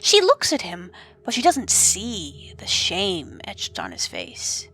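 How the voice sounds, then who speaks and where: A woman's voice: medium pitch, huge pitch swings, slow, fairly bright tone, faint music behind. A woman giving dramatic scripted narration as the narrator of an audio drama.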